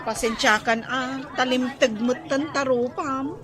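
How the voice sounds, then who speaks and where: An actress playing a plaintive, apologetic, easily upset neighbour in a comic Ilocano radio drama, with a lamenting delivery. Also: female voice, high-pitched, steady tone, a little slow, crowd noise.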